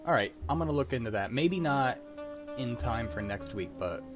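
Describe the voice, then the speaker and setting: A man's voice: medium pitch, lively intonation, normal speed, music in the background. A young adult man hosting a livestream, chatting casually with friends in a joking, profane way. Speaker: host of a script-reading livestream. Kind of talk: casual group chat.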